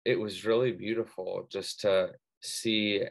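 A man with a low, gravelly voice talks in a relaxed, unhurried way on a panel. He stutters now and then, repeating sounds and leaning on "um" and "like" as he reflects. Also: low-quality recording. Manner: person who stutters, with part-word repeats and many fillers. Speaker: panel speaker. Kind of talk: casual reflective talk.

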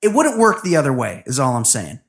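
A man co-hosting a podcast, chatting about a reality dating show in a sarcastic, profane and animated way. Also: mid-range voice, fairly quick. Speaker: podcast co-host. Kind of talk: casual recap chat.

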